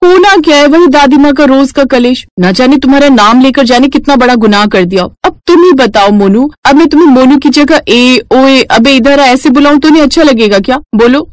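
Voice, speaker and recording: Lady doing a young modern bride for cartoon story, chatty n excitable, drops english words, high bouncy voice, dry sound. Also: normal speed, few pauses, no room sound, natural voice. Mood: exasperated.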